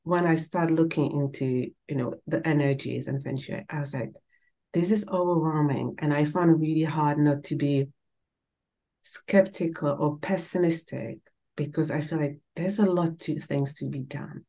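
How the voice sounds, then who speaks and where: A woman with a low-pitched voice and a Czech accent. She speaks as a podcast host in an informal interview, casual and conversational in style. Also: fairly even intonation, normal speed, mellow. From Czech Republic.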